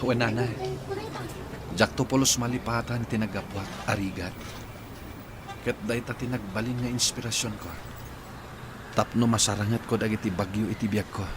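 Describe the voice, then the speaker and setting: An actor playing a devoted, earnest young adult son in a radio drama. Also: male voice, on the low side, fairly even intonation, normal speed, pauses often, close to an everyday voice. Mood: earnest, tender. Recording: noisy ambient background.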